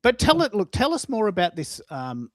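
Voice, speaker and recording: A man's voice: high-pitched, wildly swooping pitch, moderate pace, no echo. An Australian man hosting a casual online chat show, emphatic and repeating phrases for stress. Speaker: host of a live online audio show.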